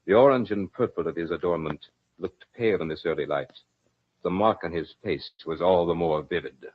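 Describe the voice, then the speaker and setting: Very deep-voiced soldier aristocrat, old radio. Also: male voice, a little fast. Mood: somber, remorseful. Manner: theatrical, florid, formal. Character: haughty, battle-hardened aristocratic soldier, a remorseful swordsman. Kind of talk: old-time radio drama acting and narration. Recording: dull, muffled old radio recording.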